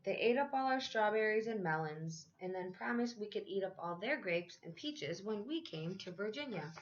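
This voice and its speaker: A woman teacher reading a children's picture book aloud in a measured, expressive storytelling way, stretching out key phrases. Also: mid-range voice, lo-fi sound, slight background noise.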